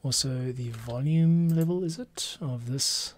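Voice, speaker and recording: A man's voice: medium pitch, a little slow, a little mellow. A middle-aged man thinking aloud in casual commentary as he edits audio. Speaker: podcast audio editor, streamer. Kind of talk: casual work-along commentary. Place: small home studio room. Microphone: microphone on arm, close to mouth. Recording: clear keyboard and mouse clicks.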